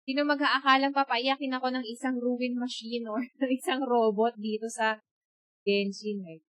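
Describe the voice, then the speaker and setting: A woman with a Filipino accent giving expressive, casual live game commentary, chatting to her viewers. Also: on the high side, normal speed, a little hiss or hum. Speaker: game streamer. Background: game music.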